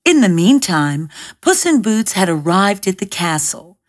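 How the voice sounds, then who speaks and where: Slow, animated woman storyteller. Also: medium pitch. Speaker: audiobook narrator. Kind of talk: scripted fairy-tale narration.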